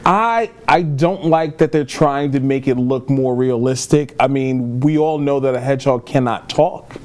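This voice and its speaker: A man's voice: medium pitch, measured pace. A man co-hosting a podcast, talking casually about pop culture as an enthusiastic, opinionated fan. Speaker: podcast co-host.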